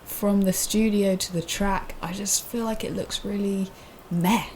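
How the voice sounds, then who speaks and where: A woman's voice: medium pitch, fairly even intonation, unhurried, a little mellow, a little hiss or hum. A woman co-hosting a podcast, speaking in an informal, conversational way. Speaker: podcast co-host. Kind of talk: casual panel chat.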